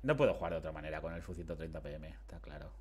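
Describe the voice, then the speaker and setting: A man's voice: very deep voice, rapid-fire, a little mellow, slight background noise. A man, commenting casually on his game through a headset mic, chatty and self-mocking. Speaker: game streamer. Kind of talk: casual live commentary on gameplay.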